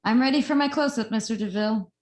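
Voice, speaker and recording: A woman's voice: fairly high pitch, fast, lightly acted. A woman playing an earnest, defiant reporter addressing the public in an amateur table read. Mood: playful. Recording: roomy, noisy home recording.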